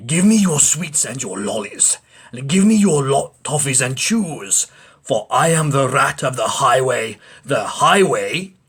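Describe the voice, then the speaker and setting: Storybook villain: greedy, scornful rat bandit played by a man, husky, bellowing and very animated. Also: medium pitch, heavily acted. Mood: menacing, scornful. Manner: scornful, bellowing, drawn-out commands. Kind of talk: storybook character voice.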